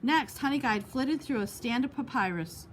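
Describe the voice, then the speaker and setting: A woman's voice: sits high, lively intonation, normal speed, a little hiss or hum. A woman reading a children's picture book aloud expressively, as a storytime host. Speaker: storytime reader.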